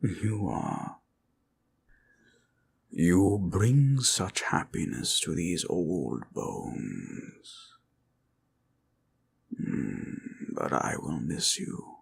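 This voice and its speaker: Slow, gravelly ancient dragon. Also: male voice, very animated, slight background noise, heavily acted. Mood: wistful, tender. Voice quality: deep, gravelly, husky voice. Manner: slow, measured, tender, old-fashioned formal phrasing. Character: ancient gentle male red dragon, fatherly and wistful. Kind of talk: audio roleplay acting.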